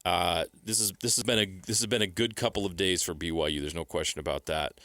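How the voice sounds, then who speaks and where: A man's voice: low voice, fairly even intonation, fast, pushed and bright, dry recording. Emphatic American male sports analyst, dry sound. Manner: emphatic, repeats points in short phrases. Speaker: sports radio co-host.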